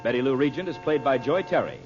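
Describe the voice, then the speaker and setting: A man's voice: medium pitch, lively intonation, quick pace. An American man announcing in old-time radio style, reading scripted copy with polished, emphatic salesmanship. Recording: muffled vintage radio recording with music. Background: music.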